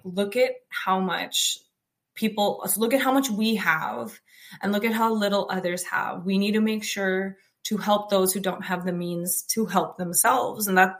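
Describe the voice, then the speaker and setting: A young woman from the United States with a loud, outspoken voice, telling her life story in a chatty way with many fillers and restarts. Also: medium pitch. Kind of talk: personal storytelling, casual.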